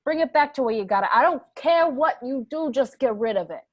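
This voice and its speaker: Woman acting a brash, higher-voiced mob boss. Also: animated, fairly quick, no echo, dull audio. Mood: exasperated anger. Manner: scolding, brash, emphatic. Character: domineering, exasperated, foul-mouthed mob boss. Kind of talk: crime radio play reading.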